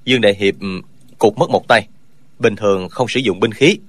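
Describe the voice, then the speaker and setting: A man's voice: fairly low pitch, moderate pace, husky, no echo. An actor voicing an upright young swordsman who speaks earnestly and lectures the old man in an audiobook. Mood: lecturing. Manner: earnest, lecturing, sometimes mocking. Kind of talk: audiobook character dialogue.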